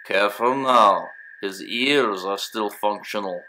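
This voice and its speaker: Audio drama role: man playing a cryptic Russian army medic, forceful bright voice, formal, clipped, unhurried delivery. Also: on the low side, slight background noise, low-quality recording. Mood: grave, measured.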